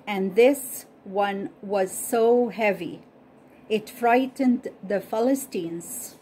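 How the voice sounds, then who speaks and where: A woman whose first language is Arabic reads a Bible story aloud at an unhurried, deliberate pace. Her voice sits a little high, and she says each study question twice. A faint hiss runs under the recording. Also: darkish tone, rough recording. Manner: slow, careful reading, repeating each question.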